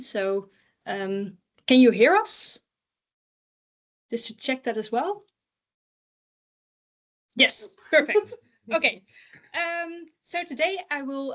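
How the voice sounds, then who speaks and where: A young woman hosting an online university information session, friendly, with frequent fillers. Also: on the high side. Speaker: host, education officer. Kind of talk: online info session moderation. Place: university room with a small panel.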